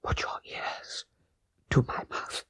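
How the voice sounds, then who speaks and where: A man voicing a guilt-ridden, dying old father in an audiobook, halting and laboured, with a breathy voice that fades into whispers. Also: fairly bright tone, dry recording, studio quality. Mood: weak, fading. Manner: halting, laboured deathbed speech. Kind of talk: dramatic audiobook character voice.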